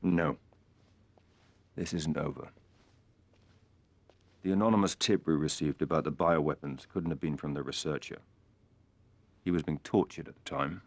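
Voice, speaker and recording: An actor playing a cool, dry-witted British secret agent in a video game cutscene, with clipped, understated delivery. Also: male voice, a bass voice, normal speed, pauses often, no room sound. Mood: resolute, businesslike.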